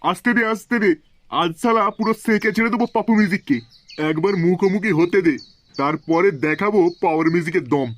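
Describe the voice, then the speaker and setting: A man's voice: sits high, a little fast, slight background noise, lightly acted. A man voicing a foul-mouthed, hot-tempered crew boss in a comedy cartoon, full of bluster and threats. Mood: fired up.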